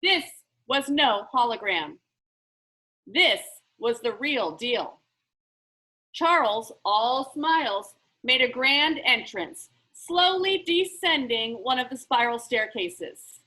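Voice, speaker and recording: A woman reading a children's book aloud as an expressive storyteller. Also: on the high side, slow pace. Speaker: story reader.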